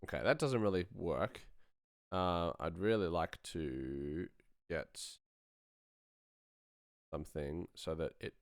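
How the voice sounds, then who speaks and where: A man's voice: deep voice, takes it slowly, pauses often, smooth, no echo, clean studio sound. A man hosting a live stream, chatting informally and thinking aloud as he works on sound design. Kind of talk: casual tutorial chat while working.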